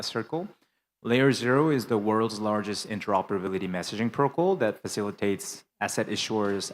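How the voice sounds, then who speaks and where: Male panelist with a lowish voice, smooth business pitch peppered with ums. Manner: fluent business talk with frequent fillers. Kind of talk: conference panel introduction. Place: conference hall panel.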